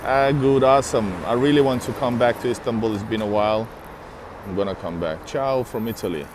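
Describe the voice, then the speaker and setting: Man, live-stream host, chatty, greets viewers by name, mid-pitched, walking outside, faint street noise. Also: a little fast. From Finland. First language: Russian. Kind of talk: casual live-stream chat while walking. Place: city street outdoors.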